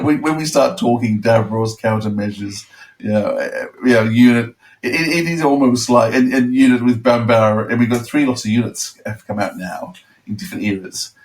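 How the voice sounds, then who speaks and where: Slightly distant-sounding man chatting casually. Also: mid-range voice. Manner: rambling, halting with repeated words. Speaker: podcast panellist discussing audio dramas. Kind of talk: casual chat.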